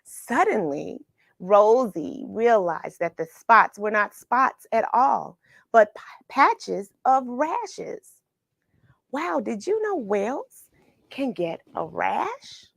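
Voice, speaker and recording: A woman telling a children's picture story in an expressive way, pausing for chatty questions to the young listener. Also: a little high, creaky, no room sound, studio quality. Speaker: children's read-along storyteller and host. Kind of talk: animated picture-book read-aloud with questions to young listeners.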